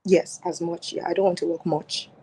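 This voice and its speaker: A woman's voice: on the low side, flat delivery, moderate pace, breathy edge, poor audio. A woman in her thirties with a Nigerian accent, answering dating questions casually on a phone at home, with lots of fillers and restarts. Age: 30s. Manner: soft-spoken, many fillers and restarts. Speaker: guest on a dating show. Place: home, mid-move.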